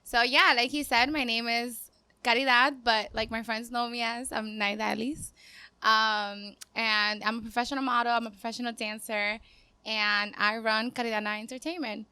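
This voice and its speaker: A woman's voice: fairly high pitch, steady tone, normal speed, sharp tone, clear, resonant tone, no echo. A woman in her twenties from Cuba, a dancer chatting casually as a podcast guest in an upbeat, talkative way. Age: 20s. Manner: upbeat, chatty, laughs easily. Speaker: guest, dancer and business owner. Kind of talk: casual interview talk.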